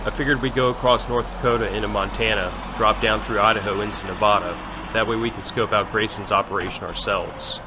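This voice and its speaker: Man playing low-voiced commanding army major. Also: fairly even intonation, brisk, background noise, lightly acted. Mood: gruff, calm. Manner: terse, authoritative orders. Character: commanding male army major leading a fighter unit. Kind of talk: audio drama acting.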